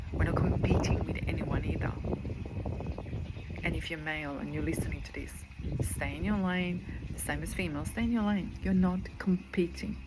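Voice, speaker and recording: A woman in her forties from Croatia, with a Croatian accent, giving an earnest motivational talk as a spiritual coach, repeating phrases for emphasis. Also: low voice. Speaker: spiritual coach and medical intuitive. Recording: noticeable background noise.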